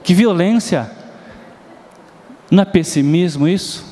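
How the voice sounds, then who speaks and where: Brazilian preacher in a reverberant church. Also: male voice, high voice, a little slow, warm tone. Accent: Brazilian Portuguese. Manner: storytelling, asks the congregation questions, sings bits of nursery rhymes. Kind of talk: sermon. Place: church hall. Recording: long hall reverb.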